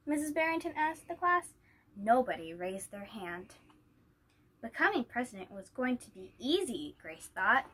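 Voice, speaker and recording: A woman's voice: on the high side, big pitch swings, normal speed, sharp tone, husky, low-quality recording. A woman reading a children's picture book aloud as a storyteller. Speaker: story reader.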